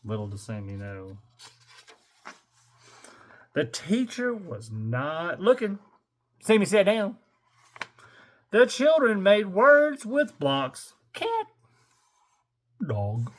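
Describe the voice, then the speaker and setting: A man's voice: high voice, wildly swooping pitch, drawn-out words, long pauses. An older man reading a children's picture book aloud in a playful, theatrical way, stretching words and slipping in wry muttered asides. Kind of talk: animated children's read-aloud with joking asides.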